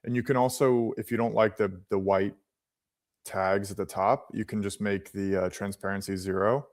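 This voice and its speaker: A man's voice: on the low side, fairly even intonation, moderate pace. A man in his late twenties co-hosting a live trading stream, patiently walking through chart settings and restarting his phrases. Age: late 20s. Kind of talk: casual live-stream explaining.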